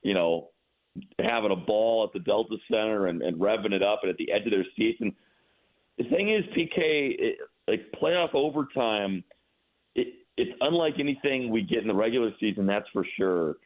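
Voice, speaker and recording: Low-voiced sportscaster on a phone-like line. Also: male voice. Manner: halting, repeats words for emphasis. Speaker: radio play-by-play announcer, guest. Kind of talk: casual sports radio interview commentary. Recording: thin, phone-like narrow-band line.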